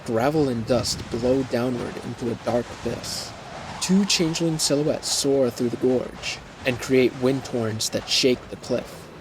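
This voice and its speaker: A man's voice: mid-range voice, noisy background. A man reading scripted scene descriptions as the narrator of an audio drama.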